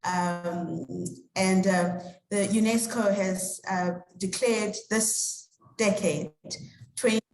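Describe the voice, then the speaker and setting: A woman with a mid-pitched voice, recorded in an echoey room. She welcomes participants as a discussion moderator, phrasing things haltingly. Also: darkish tone. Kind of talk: welcome address at an online panel.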